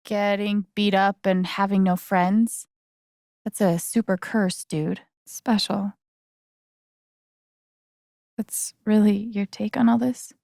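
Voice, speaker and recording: A woman's voice: medium pitch, steady tone, slow pace, gravelly, long pauses, darkish tone, no echo, clean studio sound. An actress playing a guarded, wry young woman in a video game, speaking in a casual, hesitant, understated way. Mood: bitter, self-critical. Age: young adult. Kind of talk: naturalistic video game voice acting.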